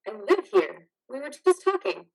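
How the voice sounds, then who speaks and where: A woman's voice: sits high, big pitch swings, moderate pace, slightly rough, exaggerated voice, poor audio. A woman in a reader's theater drama playing a caring, worried wife, soothing and pleading. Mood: alarmed, pleading.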